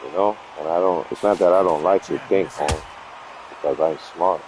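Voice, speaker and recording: A man voicing a laid-back streetwise homeboy who calls everyone Holmes and murmurs quiet threats in a low, slow voice. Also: background noise, lightly acted. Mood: menacing.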